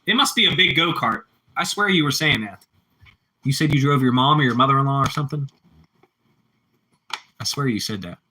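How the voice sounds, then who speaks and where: A man's voice: medium pitch, expressive, brisk, pauses often, bright, forceful tone, poor audio. A man with a Pittsburgh accent chatting casually with live-stream viewers, rambling and repeating himself as he answers the chat.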